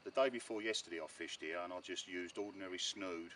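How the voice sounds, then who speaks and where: A middle-aged man with a fairly low voice explains gear in a casual, halting way, repeating words as he goes. He is recorded outdoors at some distance from the mic, with faint noise around him. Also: pushed and bright. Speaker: angler demonstrating his fishing rig. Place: outdoors on a pebbly shore beside bare bushes. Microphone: camera a few metres away.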